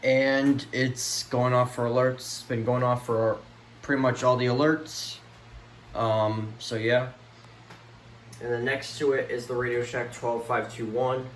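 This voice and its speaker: A man giving a casual, unscripted show-and-tell, speaking haltingly and trailing off at the ends of his thoughts. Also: mid-range voice, level tone, echoey room. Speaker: collector presenting a home video tour. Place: room at home among many radios. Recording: clear background noise and room ambience. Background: weather radio broadcast playing.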